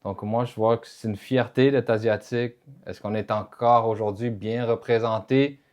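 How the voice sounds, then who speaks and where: Low-voiced Québécois man hosting a podcast. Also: steady tone, moderate pace, a little mellow. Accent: Québécois French. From Quebec, Canada. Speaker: podcast host. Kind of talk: casual podcast conversation.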